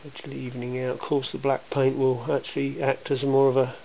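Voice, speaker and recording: A man giving an informal, matter-of-fact hands-on demonstration in a workshop, speaking in a measured way. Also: medium pitch, monotone, gravelly, mellow. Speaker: welding demonstrator. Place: indoor cluttered workshop. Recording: hissy, noisy amateur camera sound.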